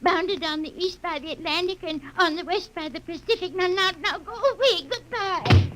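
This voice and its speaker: An actress playing a wary, easily flustered small-town housewife with an American accent in a vintage radio drama. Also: female voice, very high voice, normal speed, a bit bright. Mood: flustered, shooing. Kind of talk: 1950s radio drama acting.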